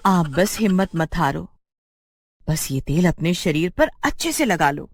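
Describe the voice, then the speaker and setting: A woman's voice: lowish voice, very animated, unhurried, background noise. A woman voicing a sarcastic, exasperated village wife who scolds her foolish husband in a cartoon moral story. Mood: urging.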